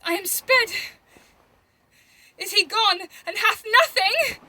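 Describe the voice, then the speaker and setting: A woman's voice: extremely high pitch, slow pace, pushed and bright, exaggerated voice. A woman playing a mortally wounded, bitterly witty young brawler in a Shakespeare audio drama, theatrical and gasping. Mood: furious, anguished.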